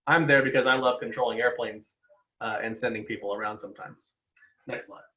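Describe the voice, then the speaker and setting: A man's voice: medium pitch, fairly even intonation, a little fast. A man giving an informal webinar presentation as a guest air traffic controller, casual and given to joking asides. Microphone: online video call. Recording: echoey, distant, dull webinar microphone.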